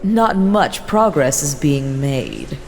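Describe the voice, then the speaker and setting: A woman's voice: rumbling low, huge pitch swings, takes it slowly, vocal fry, darkish tone, full character voice. A woman voicing an imperious vampire elder who addresses her clan in a formal, haughty, scolding manner in a fantasy role-play drama. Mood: formal, measured. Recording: long hall reverb, faint noise.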